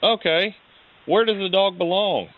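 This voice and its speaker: A man working as a police detective, informally questioning someone on a call in a halting, probing way. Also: sits high, narrow, tinny audio, slight background noise.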